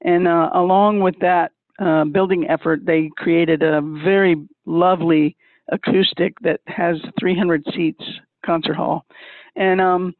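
A man speaking for a music school, explaining plans in a measured way with many pauses. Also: fairly high pitch, fairly even intonation, no echo. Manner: measured, pausing with many uhs. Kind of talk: casual explanation. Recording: dry, tinny phone line.

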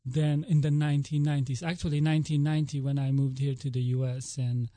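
A man's voice: fairly high pitch, level tone, quick pace, warm tone, no echo. A man in his forties from the Philippines, a ballet director speaking earnestly as an interview guest, with frequent restarts. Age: 40s. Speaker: ballet school artistic director.

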